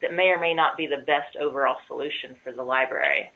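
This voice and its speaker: A woman's voice: sits low, fairly even intonation, fast talker, gravelly. A woman co-presenting on a conference call, giving informal explanations. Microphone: conference call line. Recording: muffled narrow-band phone line.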